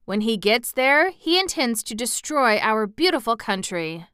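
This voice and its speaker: A woman voicing a kindly, anxious emperor made of tin in a storybook, speaking formally and earnestly in a measured way. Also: fairly high pitch, very animated, a bit bright, clean tone, no room sound, clean studio sound, voice pushed up. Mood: grave, anxious. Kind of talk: storybook narration character voice.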